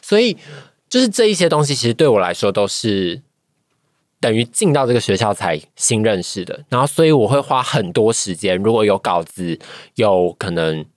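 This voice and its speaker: A woman's voice: extremely low pitch, animated, moderate pace, a little mellow, dry recording, clean studio sound. A woman with a Taiwanese Mandarin accent, a podcast guest giving a casual personal account and often searching for words. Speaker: podcast guest, voice acting trainee.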